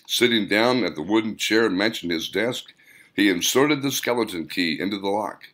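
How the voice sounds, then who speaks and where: A man reading scripted audiobook narration as the author, in measured, deliberate phrases. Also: low-pitched, a bit bright.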